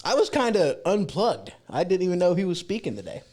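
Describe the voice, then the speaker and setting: Male host, slightly high voice, casual chat, rambling, informal. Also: big pitch swings, a little fast, darkish tone. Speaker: livestream podcast host. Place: home podcast studio.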